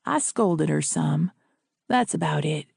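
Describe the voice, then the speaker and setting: A woman voicing a calm, plain-spoken housekeeper who speaks in dialect. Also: deep voice, slow, gravelly, breathy edge, dry recording, clean studio sound, pitched below the performer's own voice, exaggerated voice. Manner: dialect grammar.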